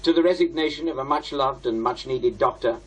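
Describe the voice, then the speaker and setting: Actor as husky, bitter British radio presenter. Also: male voice, medium pitch, fairly quick, lightly acted, low-quality recording. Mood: probing, accusing. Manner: sardonic, confrontational. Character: bitter, defiant male radio investigative presenter. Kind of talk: radio drama acting.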